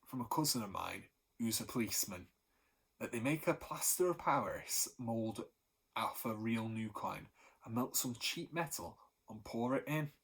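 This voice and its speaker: A man voicing a middle-aged baker who boasts and shares secrets in a hushed storybook voice. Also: medium pitch, animated, normal speed, husky. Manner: boastful, then hushed and confidential.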